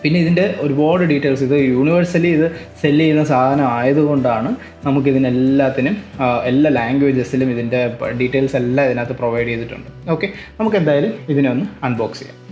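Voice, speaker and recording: Guy doing a casual unboxing, talks fast n repeats himself, bit of music under it. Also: medium pitch, a little mellow. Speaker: tech vlogger and product reviewer. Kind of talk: casual unboxing review to camera.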